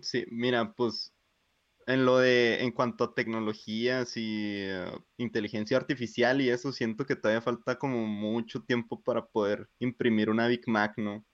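Young mexican guy in his 20s, smooth voice, dry lo-fi sound, lots of "este". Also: mid-range voice, flat delivery, dry recording. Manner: hesitant, fills pauses with "este". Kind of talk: casual chat.

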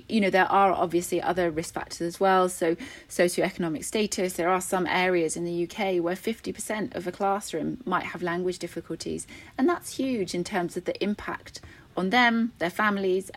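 A woman's voice: fairly low pitch, gravelly. A woman in her thirties, a speech and language therapist, explaining things earnestly as a guest in a podcast interview.

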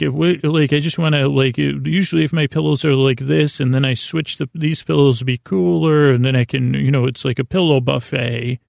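Man, bedtime podcast host, rambling with restarts, gravelly voice, dry closet recording. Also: medium pitch, a little mellow, no room sound. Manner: meandering, halting, many restarts and fillers. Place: small closet.

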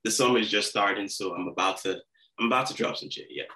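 A man in his twenties with a somewhat low voice. He tells stories as a podcast guest in a laid-back way, full of slang. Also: quick pace, bright, forceful tone, low-quality recording. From Nigeria. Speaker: guest rapper. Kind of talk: casual podcast interview.

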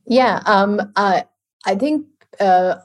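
A woman's voice: mid-range voice, expressive, slow pace. A woman from India, speaking as a panel guest and often restarting her sentences as she talks. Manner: hesitant, many restarts and fillers. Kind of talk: panel discussion.

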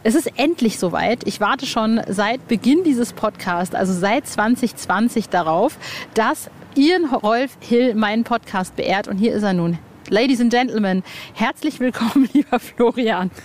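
A woman hosting a podcast in a lively, informal and chatty way, with playful asides. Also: fairly high pitch, unhurried. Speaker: podcast host.

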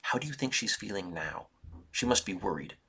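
A man voicing a determined young farm girl who scolds her little brother in a low, hushed tone. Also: expressive, a little fast, breathy edge, heavily acted. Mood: scolding.

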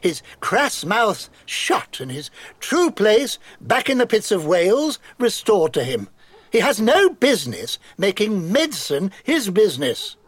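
An actor playing a haughty, aristocratic royal physician who is a scheming villain, speaking in a pompous, contemptuous way. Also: male voice, high voice, very animated, measured pace, slightly rough, dry recording, full character voice.

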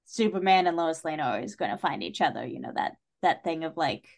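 A woman's voice: low voice, expressive, a little fast, gravelly. Casual chatty woman, podcast guest. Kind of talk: casual chat.

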